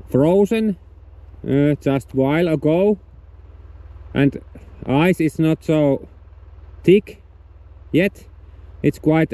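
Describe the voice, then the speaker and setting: A man from Finland speaking English with a Finnish accent, non-native and halting, explaining outdoor gear in a casual vlog. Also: fairly high pitch, mellow, echoey room. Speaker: outdoors vlogger. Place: outdoors on a frozen lake, later indoors.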